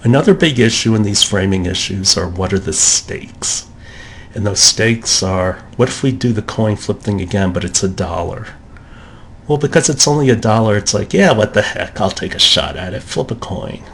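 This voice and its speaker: A man's voice: lowish voice, animated, moderate pace, a little hiss or hum. A man giving a recorded college lecture in a conversational way, acting out the thoughts of shoppers in quotes.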